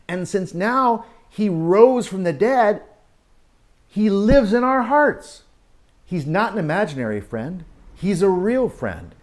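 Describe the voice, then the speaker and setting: Animated, slow-paced man telling stories to children. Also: high voice. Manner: dramatic pauses, asks young listeners questions. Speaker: children's story-time teacher. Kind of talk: animated storybook reading and children's Bible talk.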